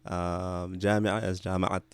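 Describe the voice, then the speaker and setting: A man in his thirties from Canada, a podcast guest telling his story in a relaxed, hesitant way, restarting phrases and adding Arabic religious expressions. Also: low-pitched, takes it slowly, clean tone, no echo. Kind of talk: casual conversational interview.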